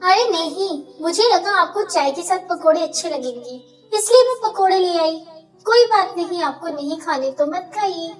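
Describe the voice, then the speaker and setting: A woman's voice: extremely high pitch, lively intonation, normal speed, distant mic, soft background music. A woman voicing a respectful, caring young daughter-in-law in a cartoon story, polite and earnest. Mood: meek.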